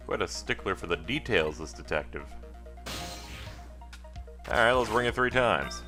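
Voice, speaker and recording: Man as a wry, low-voiced male ghost. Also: expressive, unhurried, sharp tone, soft background music. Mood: thoughtful. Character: wry, cool-headed male ghost with special powers. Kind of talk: video game character dub in a let's play.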